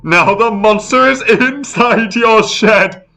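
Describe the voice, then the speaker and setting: A man's voice: high voice, takes it slowly, pushed and bright, soft background music, voice pushed up, full character voice. A man voicing a jovial, teasing older male steam engine in a children's story, with an amused, chuckling manner.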